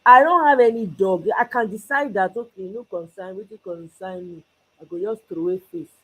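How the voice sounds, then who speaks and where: A woman's voice: mid-range voice, normal speed, breathy edge, rough recording. A Nigerian woman hosting her own commentary channel, talking with passion and moving between English and Pidgin. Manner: impassioned, mixing Pidgin with English. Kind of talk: solo political commentary. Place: abroad, away from Nigeria.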